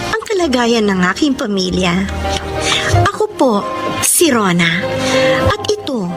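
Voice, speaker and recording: A woman's voice: fairly high pitch, sing-song, measured pace. A woman playing a grateful young daughter in a radio drama, earnest and heartfelt, with a Filipino accent. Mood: reflective, moved. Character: grateful, hopeful young daughter from a poor family. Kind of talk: Philippine radio drama acting. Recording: sentimental music behind the voice.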